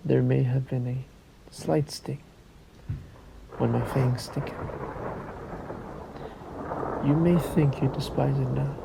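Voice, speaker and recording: A man's voice: fairly even intonation, heavy background noise. A man voicing a sadistic, possessive vampire master in an ASMR roleplay, coaxing and commanding in a condescending murmur that often sinks into a whisper. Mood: mocking, seductive. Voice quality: low gravelly murmur, often sinking into whisper. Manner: very slow, deliberate, coaxing and commanding.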